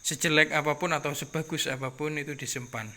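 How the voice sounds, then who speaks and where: A man's voice: fairly high pitch, steady tone. Nasal man with a Madurese accent. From Jember, East Java, Indonesia. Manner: unhurried, repeats phrases, drops in soft filler sounds. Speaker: keris collector. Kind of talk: casual vlog talk to viewers.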